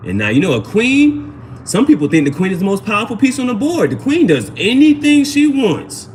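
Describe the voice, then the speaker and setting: A man's voice: sits high, huge pitch swings, a little fast, darkish tone, a little hiss or hum. A man hosting a casual live tarot reading, chatting to viewers in slangy speech and often repeating his phrases. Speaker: tarot reader, livestream host.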